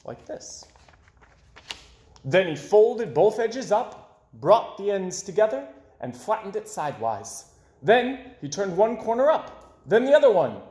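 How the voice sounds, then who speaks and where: A young man reading a children's story aloud in an expressive way, with dramatic pauses. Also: high voice. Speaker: storybook reader. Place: small indoor room with bookshelves. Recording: roomy, echoey indoor sound.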